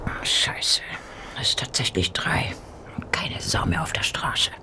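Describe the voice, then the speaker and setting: An actress playing a coarse, cheeky Victorian street prostitute in a radio play, rough in her talk and given to muttering. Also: a bass voice, lively intonation, unhurried, whispered. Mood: muttering, wary.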